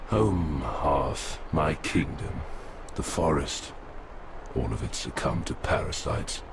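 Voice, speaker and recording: Ancient beast king of the forest, rumbling bass, solemn, grandiose, melancholy, game cutscene, noisy ambience. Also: animated, slow pace, vocal fry, exaggerated voice. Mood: mournful, bitter. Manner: solemn, grandiose, poetic doom-laden pronouncements. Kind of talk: video game cutscene acting.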